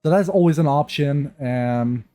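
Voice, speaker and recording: A man's voice: fairly high pitch, measured pace, dark tone, dry recording, faint music behind. Stuffy-nosed man rambling over gameplay. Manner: rambling, many restarts and fillers. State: stuffy nose from a cold. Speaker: gaming youtuber. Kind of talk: casual gameplay commentary. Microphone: new mic, untuned.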